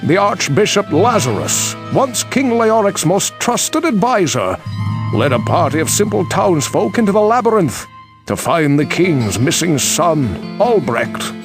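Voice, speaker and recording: An actor playing a grave elderly sage in a fantasy game, speaking in a theatrical, portentous and urgent way. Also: male voice, sits high, vocal fry, heavily acted. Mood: solemn. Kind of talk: dramatic fantasy game voice acting. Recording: music under the voice. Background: loud guitar music.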